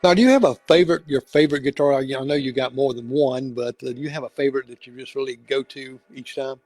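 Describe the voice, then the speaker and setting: Halting male host, dry close sound. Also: mid-range voice, a little mellow, no room sound. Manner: halting, many fillers and restarts. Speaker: talk show host. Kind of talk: casual interview chat.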